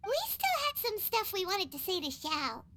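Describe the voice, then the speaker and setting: Very high, bouncy little fairy girl, swoops all over, talks in third person, game music behind. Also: big pitch swings, moderate pace, pushed and bright, heavily acted. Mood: gentle. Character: tiny chirpy female fairy companion, excitable.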